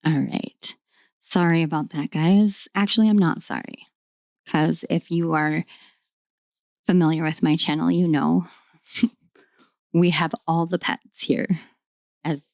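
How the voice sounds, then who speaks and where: A young woman with a hoarse, raw voice that sits on the lower side, speaking close and dry at home. She rambles fondly through a tarot reading, filling pauses with ums. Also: steady tone, a little mellow, no room sound. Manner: rambling, affectionate, many ums, talks to her pets. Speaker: tarot reader. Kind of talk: casual tarot reading to camera. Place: at home in a room.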